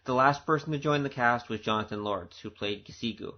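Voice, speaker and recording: A man's voice: mid-range voice, brisk. A man speaking as an audio drama producer, giving an informal, earnest and conversational director's commentary. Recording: dull, lo-fi recording.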